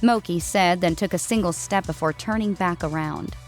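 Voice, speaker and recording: A woman's voice: on the low side, normal speed, faint music behind. A woman reading a scripted story as its narrator.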